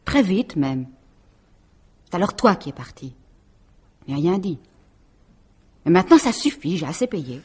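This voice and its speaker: An estranged wife in a radio mystery drama, her voice low and husky. She is insistent and stubborn, moving between halting pleas and firm warnings. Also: big pitch swings, darkish tone, full character voice. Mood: insistent, fed up. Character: estranged wife, remorseful but stubborn, pleading then threatening.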